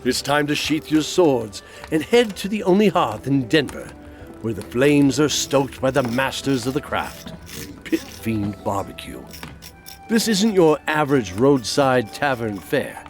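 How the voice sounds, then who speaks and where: A man's voice: mid-range voice, very animated, unhurried. A man reading a theatrical fantasy-themed advertisement as a dramatic, emphatic announcer. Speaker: advertisement voice-over. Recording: faint music bed.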